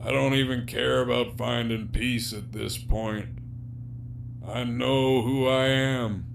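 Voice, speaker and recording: A man's voice: medium pitch, fairly even intonation, slow pace, vocal fry. A man acting in an audio drama as a jaded, sardonic, despairing prisoner villain with a profane, wry, teasing drawl. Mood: bleak, weary. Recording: faint background hum and noise.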